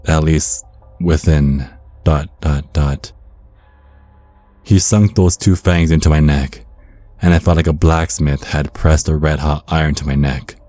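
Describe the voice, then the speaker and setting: Man playing a very deep-voiced vampire storyteller. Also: mellow. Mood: ominous. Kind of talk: first-person horror storytelling, ASMR.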